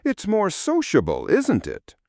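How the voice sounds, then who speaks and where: Man as a plaintive scholar, pitched high. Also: wildly swooping pitch, measured pace, a little mellow, dry recording, clean studio sound, exaggerated voice. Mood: hopeful, timid. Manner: earnest, plaintive. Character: gentle, despairing learned man, earnest and naive.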